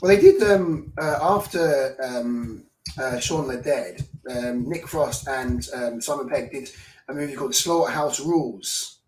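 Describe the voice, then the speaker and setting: British male guest, mid-pitched, rambling delivery, roomy sound a bit off the mic. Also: measured pace, noisy background. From United Kingdom. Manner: rambling, hesitant with many restarts and fillers. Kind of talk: casual film discussion. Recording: echoey room, distant from mic.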